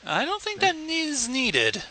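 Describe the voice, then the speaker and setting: A man's voice: very high-pitched, wildly swooping pitch, slow, bright, forceful tone, slight background noise. A man co-hosting a livestream, making blunt, casual, sweary comments on a story. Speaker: livestream co-host.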